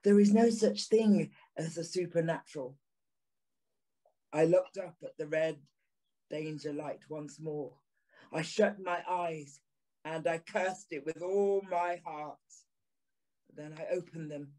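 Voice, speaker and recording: A haunted signalman tells his own tale in an audio drama. His voice is fairly high for a man and very slow. He sounds grave, deliberate and anguished. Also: fairly even intonation, warm tone, poor audio. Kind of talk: dramatic first-person audio drama narration.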